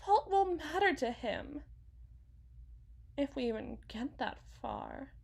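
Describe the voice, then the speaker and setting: Casting: actress as fragile, self-blaming housewife and mother for audio roleplay; high voice, very unhurried, halting and defensive. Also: sing-song, vocal fry, darkish tone, full character voice. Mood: wistful, defensive. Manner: halting, rambling, self-correcting, sighing. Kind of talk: ASMR dramatic monologue roleplay.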